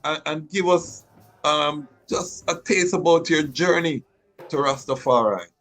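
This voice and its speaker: A man's voice: fairly high pitch, unhurried, fairly bright tone. A middle-aged man hosting a podcast interview, speaking with an emphatic rhythm and frequent restarts. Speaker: podcast host.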